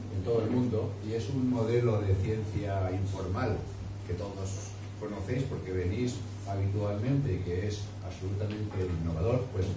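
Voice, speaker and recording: A man's voice: on the low side, noisy background. A middle-aged man, a university science communicator, making a rambling, joking announcement on a handheld mic in a pub. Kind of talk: informal announcement. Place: pub event room. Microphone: handheld microphone. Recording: echoey room, far camera.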